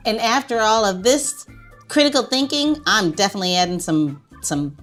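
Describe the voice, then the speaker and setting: A woman hosting a podcast in a casual, expressive style, emphatic and prone to repeating her phrases. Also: medium pitch, normal speed, faint music behind. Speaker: podcast host.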